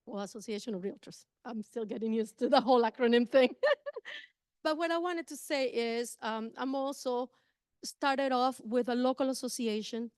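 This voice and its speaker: Clear-voiced middle-aged woman from Costa Rica. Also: on the high side, a little mellow, no echo, clean studio sound. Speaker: guest speaker, real estate agent. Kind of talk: informal personal introduction to an audience.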